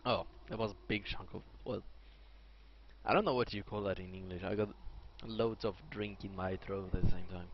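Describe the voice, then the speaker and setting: A man's voice: low-pitched, fairly even intonation, moderate pace. A man with a Swedish accent commentating casually on his own video game match, thinking aloud and correcting himself as he plays. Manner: thinking aloud, self-correcting, chatty asides. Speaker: gameplay commentator. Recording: lo-fi mic, loud game sound behind. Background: game sound effects and clicks.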